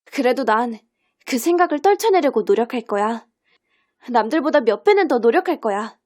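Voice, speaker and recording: Caring, determined teenage girl trainee, woman acting for audio drama: fairly high voice, earnest, measured delivery. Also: animated, a little mellow. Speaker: amateur voice actor.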